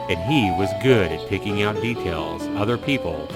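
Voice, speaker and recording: Low-pitched man reading a story. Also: animated, normal speed. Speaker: host reading a visual novel aloud to viewers. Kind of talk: dramatic storytelling narration. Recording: music behind the voice. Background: music.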